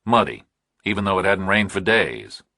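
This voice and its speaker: Deep, husky male thriller audiobook narrator. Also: sing-song, pushed and bright, dry recording, clean studio sound. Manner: measured, clipped, dramatic phrasing.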